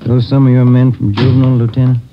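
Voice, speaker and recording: An actor playing a plain-spoken detective partner in a 1950s radio drama. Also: male voice, mid-range voice, monotone, fast talker, over music, close to an everyday voice. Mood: casual. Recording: tinny, noisy vintage radio recording.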